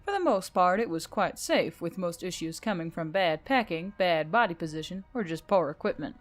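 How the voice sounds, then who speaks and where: A woman's voice: on the low side, expressive, moderate pace. Woman narrating a story over music.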